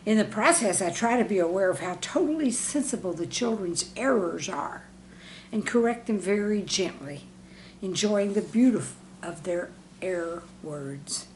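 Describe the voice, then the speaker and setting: A woman reads humorous, scripted stories from her life as a teacher. Her pace is slow and deliberate, with a medium pitch for a woman. There is noticeable background noise in the recording. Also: darkish tone, poor audio. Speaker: teacher reading own humorous classroom anecdotes.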